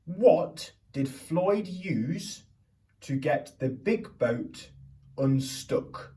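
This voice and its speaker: A man reading a picture book aloud to young children as a teacher would, theatrically, pausing to put questions to them. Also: a little high, animated, mellow, distant mic. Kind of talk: expressive children's storybook reading with questions to listeners.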